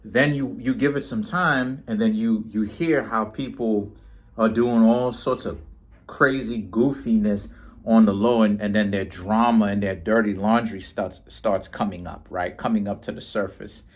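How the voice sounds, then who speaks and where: Rambling New man, fairly low voice. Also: steady tone, unhurried. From Trinidad and Tobago, raised in New York City. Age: 30s. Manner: rambling, often tags lines with "right?" and "you know what I'm saying". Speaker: tarot reader and vlogger. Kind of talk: casual monologue to camera.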